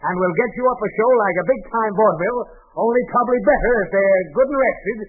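A man's voice: high voice, quick pace, a little hiss or hum, natural voice. A man playing a blustery, demanding, exasperated boss with a pompous barking manner in 1940s radio comedy style. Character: blustery, demanding male boss, exasperated with his bumbling employee. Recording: tinny band-limited 1940s radio recording.

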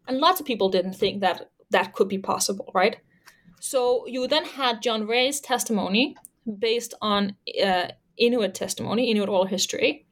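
A Danish woman, a native Danish speaker, talking as an author about her research in an interview, thoughtful and full of fillers and restarts. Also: mid-range voice. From Denmark. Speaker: author and historian guest. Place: Canada.

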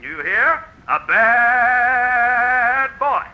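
An actor playing a stern old Santa Claus in a 1940s radio comedy, scolding theatrically with drawn-out emphasis. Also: male voice, very high voice, expressive, loud music behind the voice, heavily acted. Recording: muffled, hissy old radio recording.

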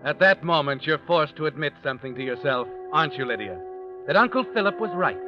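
Music plays prominently under a dull, lo-fi old radio recording of a man acting an ominous, all-knowing suspense narrator. His fairly high male voice is dramatic and insinuating, as if speaking to a guilty conscience. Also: animated, fast talker, lightly acted. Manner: dramatic, insinuating, addresses listener as you.

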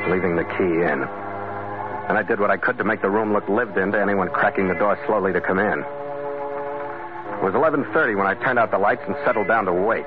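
An actor playing a tough, wry sea captain sleuth in a classic radio detective drama, clipped and sardonic. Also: male voice, lowish voice, natural voice. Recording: noisy vintage radio broadcast, muffled.

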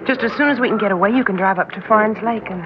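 A woman's voice: medium pitch, fast talker, background noise, natural voice. A woman playing a sweet, eager office secretary in an old-time radio drama. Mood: warm, flirtatious. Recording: muffled old radio recording, busy room chatter.

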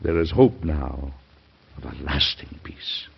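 An actor playing a weary, idealistic, stubborn American president in a classic radio drama, speaking in earnest, emphatic oratory. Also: male voice, very deep voice, level tone, takes it slowly, creaky, a little hiss or hum, full character voice. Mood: hopeful, resolute. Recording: dull, band-limited old radio recording.

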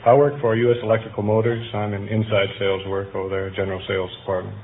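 On a thin, faintly hissy old radio recording, a young American man speaks briskly in a lowish voice. He answers casually as a contestant. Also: monotone. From Michigan, United States. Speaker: quiz show contestant. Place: studio stage before live audience. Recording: tinny old radio with hiss.

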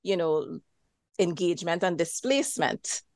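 A woman's voice: fairly low pitch, expressive, unhurried, a little mellow, no room sound. A woman with a Trinidadian accent, a historian talking about her book in an interview, thoughtful with frequent fillers and restarts. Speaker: historian and co-editor guest. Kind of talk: academic interview.

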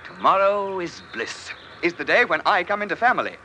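An actor playing a merry, lovestruck young English gentleman in an old-time radio drama, speaking in theatrical archaic phrases. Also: sits high, sing-song, a little fast, heavily acted. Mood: smitten, content. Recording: faintly noisy old radio recording.

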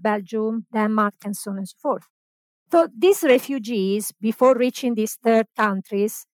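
A woman hosting a podcast, introducing her guest and reading historical background in a steady, informative way. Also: a little high, no echo. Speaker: podcast host.

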